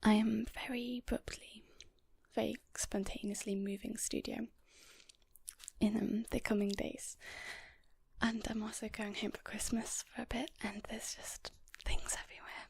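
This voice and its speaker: Female ASMR host, very breathy whisper with crackly edges, casual and gently rambling. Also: on the high side, little pitch movement, a little slow. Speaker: ASMR video creator and host. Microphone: portable handheld audio recorder. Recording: close whispered recording on portable recorder.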